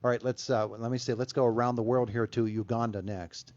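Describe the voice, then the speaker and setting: A man's voice: medium pitch, steady tone, a little fast, a little mellow, muffled recording. A man hosting a morning radio interview, jovial and chatty as he draws out his guests. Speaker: radio show host.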